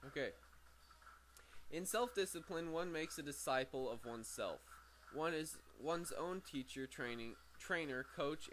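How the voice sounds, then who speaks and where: Halting man reading a book aloud. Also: medium pitch, fairly bright tone, poor audio. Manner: halting, stumbles over words and corrects himself. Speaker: amateur book reader. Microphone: very sensitive microphone. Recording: faint background noise, sensitive microphone.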